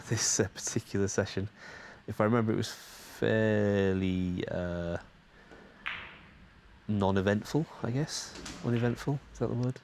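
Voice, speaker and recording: Low-voiced man, stuffy from a cold. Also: vocal fry, a little hiss or hum. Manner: rambling and chatty, frequent hesitations. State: slightly stuffed up and tired from a cold. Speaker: channel host. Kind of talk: casual voice-over commentary on practice footage.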